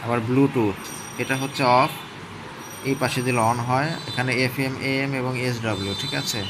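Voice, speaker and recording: Over clear background noise, a man presents a product review. He talks casually and explains things hands-on, pausing to check that viewers are following. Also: medium pitch, fairly even intonation. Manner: explanatory, often checks in with listeners.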